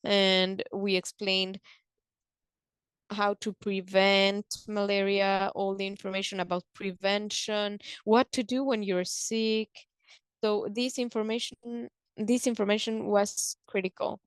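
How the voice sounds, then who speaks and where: Slow-paced woman giving a presentation. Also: mid-range voice, little pitch movement, smooth, no echo. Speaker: presenter on a conference call. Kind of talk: explanatory slide presentation, halting delivery.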